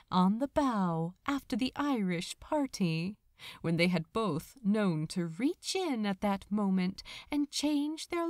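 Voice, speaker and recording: A woman reading a romantic story aloud as a narrator, with a dramatic, tender and measured delivery. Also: on the high side, no room sound, studio quality. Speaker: fan fiction audiobook narrator. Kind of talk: expressive scripted story narration.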